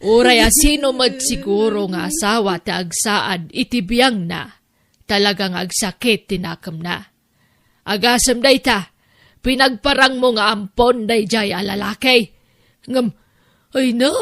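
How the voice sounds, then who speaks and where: A woman's voice: fairly high pitch, unhurried, a bit bright, no echo, clean studio sound. A woman with an Ilocano accent playing a stern, reproachful mother who scolds and moralizes in an audio drama.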